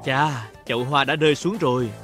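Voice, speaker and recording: A man's voice: mid-range voice, normal speed, a bit bright. A man narrating a children's cartoon in speech, talking to the characters like a storyteller for young children. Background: music.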